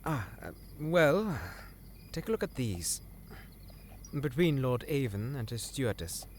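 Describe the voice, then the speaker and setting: A man's voice: mid-range voice, sing-song, measured pace, darkish tone, a little hiss or hum. A man playing an earnest, deferential scholar serving royalty in a fantasy audio drama, formal and slightly hesitant. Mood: earnest explaining.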